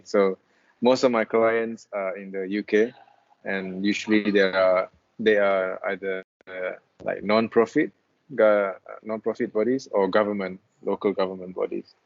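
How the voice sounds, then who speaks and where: A young man from Malaysia with a lowish voice answers interview questions over a video call. He talks in a relaxed, easygoing way, full of restarts and fillers. The call audio sounds dull and lo-fi. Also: normal speed. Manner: relaxed, hesitant with many restarts. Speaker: guest, freelance web developer. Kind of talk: casual interview chat.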